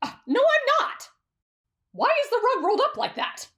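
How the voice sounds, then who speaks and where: A woman voicing an excitable, dramatic teenage boy with a shrill, whiny voice in an anime fan roleplay. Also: normal speed, heavily acted. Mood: flustered. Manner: yelling, complaining.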